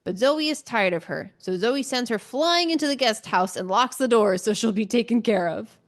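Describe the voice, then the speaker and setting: A woman's voice: a little high, lively intonation, moderate pace. Chatty woman recapping a book casually. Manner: chatty, informal asides, giggly. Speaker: podcast co-host.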